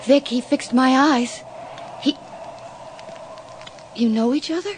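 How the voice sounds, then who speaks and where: A woman's voice: high-pitched, slow, darkish tone. An actress playing an anxious, bewildered young woman with a hesitant, stammering delivery in a radio horror drama. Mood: emotional, confused. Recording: slightly noisy 1980s radio recording.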